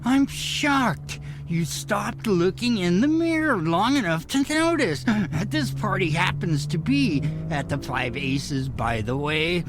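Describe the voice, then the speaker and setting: A smug, scheming socialite performed by a man drips sarcasm slowly, with wide swoops of pitch. The delivery is sardonic radio-comedy acting, with music clearly playing behind. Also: lively intonation, full character voice. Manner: drawn-out sarcasm. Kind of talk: comic radio drama acting.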